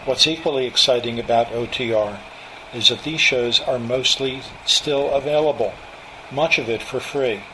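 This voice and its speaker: A man's voice: medium pitch, normal speed, poor audio. A man in his seventies with an American accent, reading a scripted personal narration. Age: 70s. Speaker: narrator, old-time radio enthusiast. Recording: steady hum of room noise.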